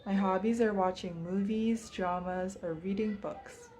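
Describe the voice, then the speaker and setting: A sixteen-year-old girl from Indonesia introducing herself, soft and trailing off. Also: mid-range voice, fairly even intonation, a little slow. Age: teens. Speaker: panelist. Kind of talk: self-introduction.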